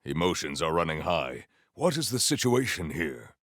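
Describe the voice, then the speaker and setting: An actor playing a solemn, honor-bound warrior-monk in a video game, speaking in a formal, stately, theatrical way. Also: male voice, low voice, big pitch swings, moderate pace, a bit bright, no room sound, studio quality, heavily acted. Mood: formal, steady. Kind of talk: scripted video game dialogue.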